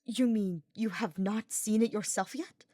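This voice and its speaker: A woman's voice: mid-range voice, a little slow, dark tone, dry recording, studio quality, natural voice. A woman voicing an exuberant, earnest young male knight with effusive, florid speech in a story reading. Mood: delighted. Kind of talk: dramatised fiction reading.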